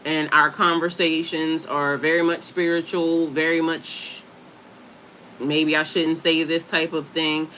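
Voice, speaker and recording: Bright, chatty woman livestream host. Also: sits low, flat delivery, unhurried, slight background noise, low-quality recording. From Delaware, USA. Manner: chatty, addresses viewers directly, stumbles over and spells out hard words. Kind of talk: casual livestream chat, reading aloud from a website.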